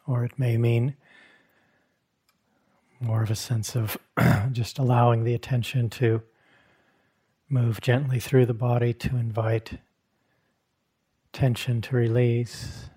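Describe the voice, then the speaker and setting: A man with a mellow, husky voice guides a meditation. He speaks very slowly and gently, leaving long pauses between short phrases, like a teacher settling a group into stillness. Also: mid-range voice, level tone. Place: meditation hall at a retreat.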